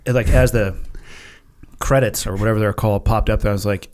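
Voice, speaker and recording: A man's voice: on the low side, steady tone, fairly quick, darkish tone. A man guesting on a podcast in a studio, teasing another guest with dry, mock-serious questions. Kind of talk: casual podcast chat. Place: dark studio. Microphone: studio mic, headphones.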